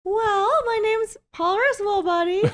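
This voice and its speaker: A woman voicing a cheeky, chatty walrus puppet made of wool, in a very high, chirpy voice. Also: steady tone, measured pace. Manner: chatty, playful, self-promoting. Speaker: co-host's puppet. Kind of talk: comedic puppet bit.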